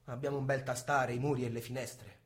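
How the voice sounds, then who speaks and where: A man's voice: medium pitch, flat delivery, a little fast, natural voice. An actor playing a sleepy, querulous, hard-of-hearing blind man in theatrical stage style. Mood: weary. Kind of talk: theatrical stage-play acting.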